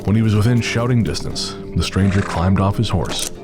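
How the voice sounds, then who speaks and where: A man's voice: on the low side, normal speed, natural voice. A man giving scripted narration as the storyteller of a western horror audio drama. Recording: music bed behind the voice.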